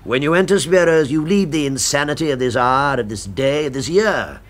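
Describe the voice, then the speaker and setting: A man's voice: on the high side, moderate pace, natural voice. An actor playing an earnest, fussy gourmet businessman in a 1970s radio drama, with a precious, emphatic delivery. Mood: proud, reverent.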